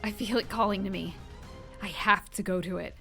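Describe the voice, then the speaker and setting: A woman's voice: medium pitch, normal speed, vocal fry, a bit bright, soft background music. A woman playing a brave, caring young superheroine, speaking earnestly and expressively in an audio drama. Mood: worried.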